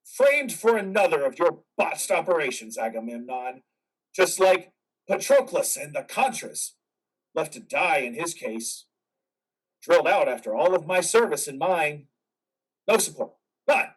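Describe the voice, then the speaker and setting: A man performs a war veteran soldier consumed by anguish and vengeance in a stage drama. His voice sits fairly high and has a husky edge. It is picked up a little way from the microphone. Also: sing-song, a little slow, far from the mic, full character voice, poor audio. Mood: anguished, angry. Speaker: amateur actor. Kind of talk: dramatic play reading.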